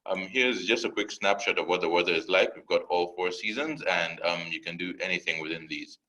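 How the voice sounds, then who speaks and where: A young man from Uganda, an admissions counselor giving a relaxed, lightly joking webinar presentation with a few fillers. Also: sits low, fairly quick, poor audio.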